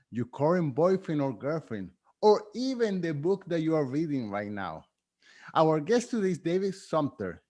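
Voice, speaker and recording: A man with a fairly high voice hosts an interview podcast. He opens with a read introduction, then asks rambling, curious questions and laughs between them. He sounds friendly and chatty. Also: a little mellow. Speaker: podcast host.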